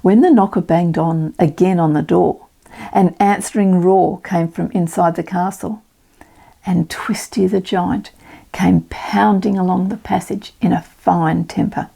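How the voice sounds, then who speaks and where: An older woman reading a children's storybook aloud as a storyteller, expressive and dramatic. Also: fairly low pitch, unhurried, gravelly, breathy edge.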